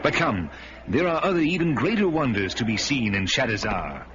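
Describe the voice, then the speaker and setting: An actor playing a worldly, wary thief, companion to a barbarian hero, with dramatic, ominous, archaic phrasing in a fantasy radio drama. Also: male voice, medium pitch, big pitch swings, normal speed, noisy background, lightly acted. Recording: dull radio recording with loud music.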